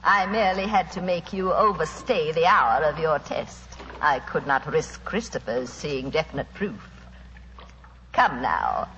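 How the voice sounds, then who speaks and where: Radio villainess: cold, bright and taunting, formal diction, dull reverberant 1940s recording. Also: female voice, on the low side, slight background noise. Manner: formal, mocking, coolly taunting. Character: cold, scheming female villain, a spy and murderess. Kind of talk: 1940s radio drama acting.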